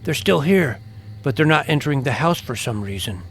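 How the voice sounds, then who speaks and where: A man's voice: mid-range voice, lively intonation, normal speed, background noise, close to an everyday voice. A man playing an anxious, earnest twelve-year-old boy vampire hunter with urgent delivery in an audio story.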